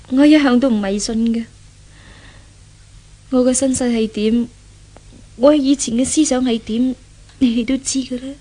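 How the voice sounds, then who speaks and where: A woman's voice: on the high side, steady tone, measured pace, long pauses, warm tone. An actress in a 1970s radio drama playing a guilt-ridden, world-weary young woman with a melodramatic, sorrowful manner. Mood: reluctant, subdued. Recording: old radio drama, music behind voice.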